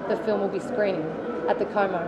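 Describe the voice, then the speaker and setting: A woman with an Australian accent, an actress answering interview questions in a relaxed, chatty way with lots of fillers and restarts. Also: medium pitch, fairly quick, over a noisy crowd. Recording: loud background noise.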